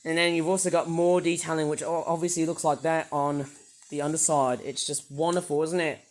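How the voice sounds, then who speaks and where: British male toy reviewer, high croaky voice, rambly and enthusiastic, lots of "uh"s. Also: level tone, a little fast. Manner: rambling, enthusiastic, many hesitations.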